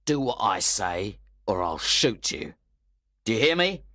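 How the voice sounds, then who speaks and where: A man voicing a rough, menacing male housebreaker who speaks slowly and threateningly. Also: on the low side, very animated, a bit bright, no echo, clean studio sound, full character voice. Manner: slow, threatening.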